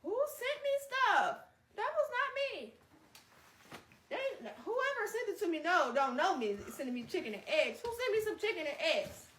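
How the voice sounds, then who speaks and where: A woman hosting a read-aloud, moving between narration and casual, expressive, chatty asides. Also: very high-pitched, moderate pace. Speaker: host reading a book aloud on a live stream. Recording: roomy echo, distant microphone.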